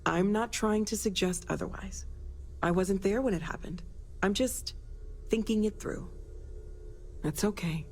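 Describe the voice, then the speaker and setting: A woman's voice: lowish voice, darkish tone. An actress voicing a friendly, thoughtful young woman scientist in a video game, earnest and a little awkward. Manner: earnest, slightly awkward, tentative. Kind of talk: scripted video game dialogue. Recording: clear background noise and ambience.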